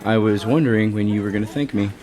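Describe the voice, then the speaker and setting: A man's voice: lowish voice, quick pace, a little mellow, lightly acted. An actor playing a cocky, needling rival businessman in an audio soap opera, with a smug way of talking.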